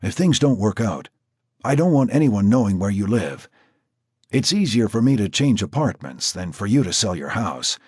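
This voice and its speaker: A calm, steady grown man, voiced by a male narrator, firm but quiet as he asks questions one by one. His voice is a little husky, with lively pitch. The sound is dry and close. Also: normal speed, no room sound.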